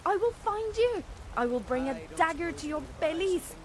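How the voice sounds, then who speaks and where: A woman's voice: very high voice, expressive, normal speed, crowd noise. A woman voicing a threatening Nord thief, a follower character in a video game, speaking with menace and accusation. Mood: defiant, threatening.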